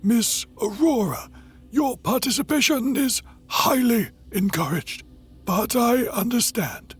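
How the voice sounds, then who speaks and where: A woman's voice: on the high side, lively intonation, takes it slowly, breathy edge, soft background music, heavily acted. A woman playing a formal, unflappable courier to a vampire prince in an audio drama, speaking politely and measuredly. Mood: formal, composed.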